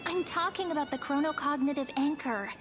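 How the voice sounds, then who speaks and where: An actress playing a sweet young girl companion in a video game, playful yet earnest, with soft, expressive delivery. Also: high-pitched, brisk, music in the background, close to an everyday voice. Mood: earnest, coaxing. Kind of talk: scripted video game dialogue.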